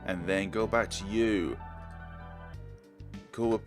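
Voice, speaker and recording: A man's voice: on the low side, steady tone, slow. A man hosting a let's play, reading a visual novel aloud with casual commentary. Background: music.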